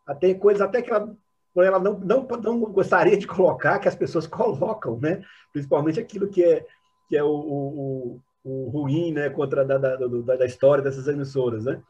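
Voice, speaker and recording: A Brazilian man giving spontaneous academic commentary as a panelist, halting and repeating words as he searches for phrasing, over dull online call audio. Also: a little high, a little mellow, slightly rough.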